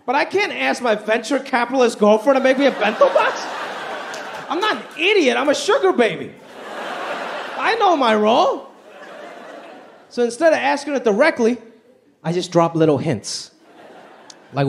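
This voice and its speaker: High, lively-voiced man doing stand-up. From Hong Kong. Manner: animated, pitch swinging high and low for punchlines. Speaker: stand-up comedian. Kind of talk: stand-up comedy set. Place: large theater stage with live audience. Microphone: handheld stage mic. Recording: live show with faint crowd and room noise.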